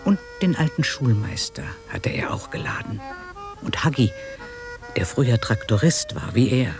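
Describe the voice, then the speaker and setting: A man narrating a radio drama in a measured, literary storytelling manner. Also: medium pitch, big pitch swings, music in the background.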